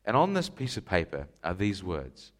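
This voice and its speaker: A man's voice: deep voice, huge pitch swings, moderate pace. A man from Scotland, preaching a sermon in an emphatic style with rhetorical questions. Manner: emphatic rhetorical questions, rising to stressed lists.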